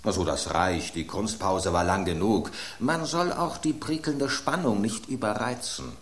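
A man's voice: lowish voice, unhurried, roomy sound. An actor voicing an aging male loaf of bread, an urbane, ironic epicure, in a radio play. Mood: teasing.

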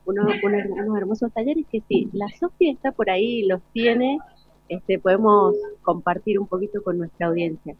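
A woman's voice: mid-range voice, normal speed, husky, dry recording. A woman with an Argentine accent hosting a live radio interview. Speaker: radio host. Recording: phone-like, dry radio sound.

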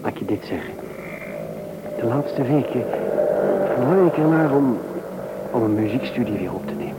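An actor playing a gentle, hesitant, sensitive fiancé in an old Dutch radio play, speaking softly. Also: male voice, mid-range voice, expressive, normal speed, very noisy, natural voice. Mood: tender. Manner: hesitant, soft-spoken.

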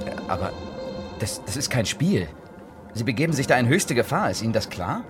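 An actor playing an earnest, uneasy newspaper reporter in a German radio drama, talking in a halting, intense way. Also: male voice, medium pitch, sing-song, soft background music, lightly acted. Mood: uneasy, insistent. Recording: background noise and ambience.

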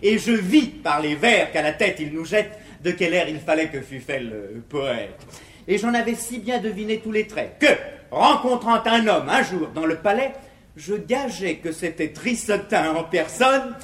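An actor playing an earnest, exasperated young gentleman in a classical verse comedy, declaiming formally in 1950s stage style. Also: high voice, expressive, a little slow. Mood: animated, scornful. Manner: declamatory, formal, emphatic delivery of rhymed verse. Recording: echoey, hissy 1950s theatre recording.

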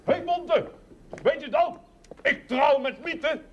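A man playing an excitable villager in a television period drama, calling out loudly. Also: very high voice, measured pace. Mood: excited. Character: excited village man boasting he will marry. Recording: dull audio with faint noise.

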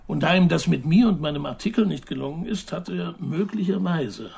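An actor playing an evasive, touchy journalist under questioning in a naturalistic radio crime drama, with a gravelly, husky voice. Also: male voice, on the high side, animated, a little fast, darkish tone, exaggerated voice. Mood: cautious, reflective.

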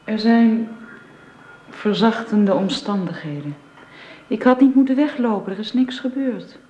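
Actress as gentle young governess, echoey room. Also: female voice, on the high side, a little slow, close to an everyday voice. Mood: reserved, kind. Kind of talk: radio drama acting. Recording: muffled, roomy radio drama recording.

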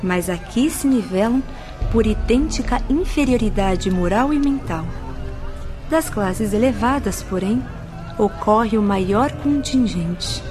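A woman playing a spirit nun who guides visitors, speaking in a grave, instructive, measured way in a radio drama. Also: mid-range voice, expressive. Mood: solemn. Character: solemn nun spirit, stern female guide. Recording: music bed under voice.

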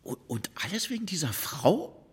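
A man playing a puzzled, inquisitive outsider in an audio drama, asking questions in a hesitant stammer with a husky voice. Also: fairly high pitch, sing-song, moderate pace, heavily acted. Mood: puzzled, curious. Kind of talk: German audio drama dialogue.

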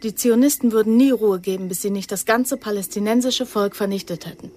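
A woman's voice: medium pitch, fairly quick, a little mellow, a little hiss or hum, natural voice. Actress as guarded, earnest young woman recruit. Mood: subdued, recounting. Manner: terse, restrained answers. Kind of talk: radio crime drama acting.

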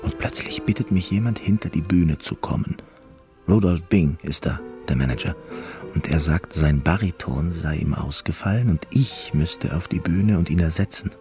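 A man's voice: sits low, moderate pace, mellow, far from the mic, faint music behind. An actor playing a neurotic, eccentric male concert pianist who muses confidingly in an introspective radio play monologue. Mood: anxious, musing. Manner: introspective, musing narration.

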